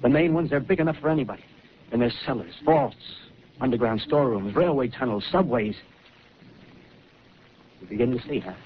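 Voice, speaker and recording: An actor with an American accent playing a wild-eyed, scheming survivalist militiaman, talking rough and fervently in 1930s radio drama style. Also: medium pitch, lively intonation, normal speed, long pauses, natural voice. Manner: rough colloquial talk, fervent, ranting. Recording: noisy, muffled 1938 radio broadcast recording.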